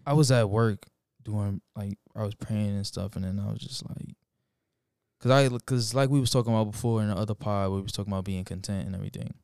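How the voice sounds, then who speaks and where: A man's voice: fairly low pitch, a little fast, creaky, a little mellow, clear, resonant tone, dry recording. Laid-back young man, dry close mic. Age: 20s. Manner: laid-back, slangy, laughs often. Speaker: podcast co-host. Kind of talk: casual chat.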